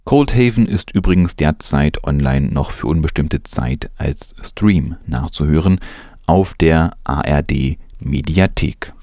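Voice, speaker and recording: A man's voice: extremely low pitch, animated, a little slow, dark tone, no room sound, studio quality. A man presenting news as scripted narration.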